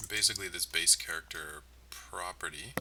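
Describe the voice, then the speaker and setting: Male developer streamer, halting think-aloud delivery, fry-heavy and very crisp-edged tone, keyboard clicks behind. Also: low-pitched, sharp tone, far from the mic, slight background noise. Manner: halting, muttering to himself. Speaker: game developer livestreaming. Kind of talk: casual thinking-aloud coding commentary. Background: typing and mouse clicking.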